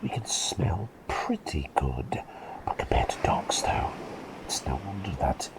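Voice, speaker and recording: Man playing a slow-whispering eccentric plague doctor. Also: deep voice, big pitch swings, poor audio. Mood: gently enthusiastic. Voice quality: breathy, unvoiced whisper. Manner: gentle, fussy, quaintly polite, unhurried. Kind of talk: whispered ASMR roleplay with personal attention.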